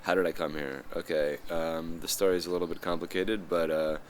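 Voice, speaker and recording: Man, 30s, volunteer interviewee, extremely deep, hesitant delivery. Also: fairly even intonation, brisk, a little hiss or hum. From United States. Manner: hesitant, many fillers. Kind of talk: interview answers.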